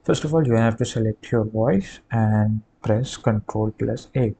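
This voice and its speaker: A man giving a halting, hesitant step-by-step software tutorial over a screen recording. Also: fairly low pitch. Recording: clear background noise.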